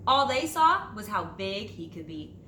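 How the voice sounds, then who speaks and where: A woman teacher reading a children's storybook aloud in an expressive, dramatic way with drawn-out phrases. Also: medium pitch. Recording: roomy echo, light background noise.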